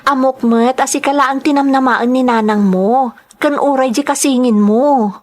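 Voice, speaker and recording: An actress playing an outspoken, lovestruck woman in an audio soap drama, with emphatic scolding and drawn-out phrases. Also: high voice, lightly acted. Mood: indignant, pleading. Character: outspoken, lovestruck woman pleading with her man.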